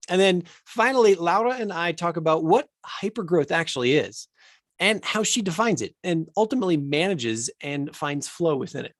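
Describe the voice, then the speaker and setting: A man's voice: on the high side, no echo, studio quality. A man hosting an education podcast, reading a scripted intro and then chatting with enthusiasm and frequent restarts. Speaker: podcast host.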